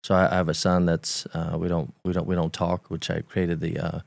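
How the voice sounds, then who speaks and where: A very low, gravelly man's voice with a Romanian accent, speaking with a flat, even delivery. He talks in his forties as a podcast guest, stopping and restarting as he recalls his past and laughing lightly now and then. The recording is close and dry. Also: dry recording. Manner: halting, repeats words while recalling, laughs lightly. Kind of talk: candid conversational storytelling.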